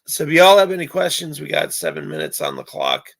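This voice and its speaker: Man, hoarse, gravelly, casual stream chat, rambling with pauses. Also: fairly high pitch, huge pitch swings, fairly bright tone. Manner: rambling, many filler pauses.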